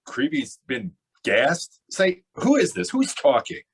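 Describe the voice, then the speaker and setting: A man acting a suspicious, impatient gangster boss in an old-time radio drama, barking demanding questions in a gruff voice. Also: a little high, huge pitch swings, takes it slowly, full character voice. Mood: agitated disbelief.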